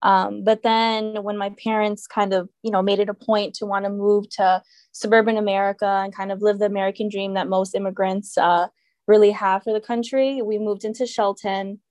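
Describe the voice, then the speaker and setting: A woman's voice: mid-range voice, little pitch movement, normal speed, a little mellow, no room sound. A young woman from Connecticut, talking as a podcast guest in a chatty way, full of fillers and hedges. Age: young adult. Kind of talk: casual podcast interview.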